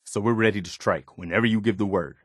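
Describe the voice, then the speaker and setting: Casting: steadfast American wasteland militia officer for a game companion role, lowish voice, plainspoken, very dry close sound. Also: male voice, quick pace, breathy edge, dry recording. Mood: serious, resolute. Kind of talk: video game companion voice lines.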